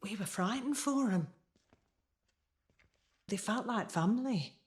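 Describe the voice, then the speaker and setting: An actress playing a frail, fond elderly English mother in a naturalistic audio drama, gentle and hesitant as she reminisces. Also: medium pitch, lively intonation, slow. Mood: nostalgic. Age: older.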